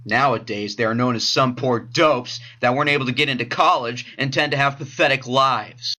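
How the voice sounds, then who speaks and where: A man's voice: mid-range voice, moderate pace, sharp tone, close to an everyday voice. A man playing a cocky, sarcastic teenage boy who taunts people in an amateur anime audio drama. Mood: mocking.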